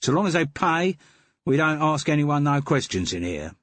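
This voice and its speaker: A man's voice: a little high, sing-song, moderate pace, no room sound, pitched above the performer's own voice. A man voicing a shifty, grudging male opium-den keeper with a Cockney twang and a sly, wheedling manner. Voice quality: put-on routine voice, sly and wheedling.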